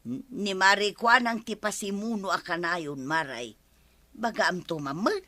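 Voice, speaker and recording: A woman's voice: medium pitch, unhurried, bright, forceful tone. A woman playing a gossipy village neighbour who tattles, in an Ilocano radio comedy drama. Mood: complaining.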